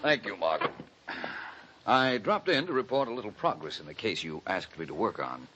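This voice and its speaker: A suave gentleman detective. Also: lowish voice, very animated, lo-fi sound, a little hiss or hum. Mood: deducing. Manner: measured, dryly witty. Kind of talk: 1940s radio acting.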